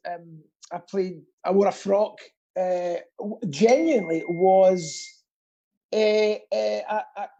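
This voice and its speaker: A man's voice: high voice, slow pace, vocal fry, mellow, dull audio, slight background noise. A middle-aged British man co-hosting a live quiz, with casual banter and a theatrical habit of repeating phrases. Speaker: quiz co-host and pantomime dame performer.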